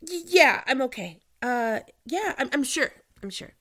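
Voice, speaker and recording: A woman playing a flustered, smitten office team leader in an ASMR roleplay, stammering and apologetic in a halting manner. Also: high voice, lively intonation, pushed and bright, exaggerated voice. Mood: flustered, nervous. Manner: halting, stammering, apologetic, often dropping to whispers. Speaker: voice actor in an audio roleplay. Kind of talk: ASMR roleplay acting, one-sided dialogue.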